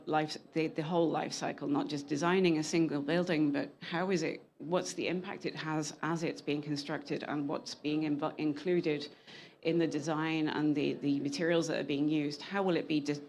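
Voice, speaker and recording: Woman, low voice, earnest, halting panel remarks. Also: gravelly, darkish tone. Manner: earnest, with frequent restarts and self-corrections. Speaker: panel speaker, climate scientist and engineer. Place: conference panel session.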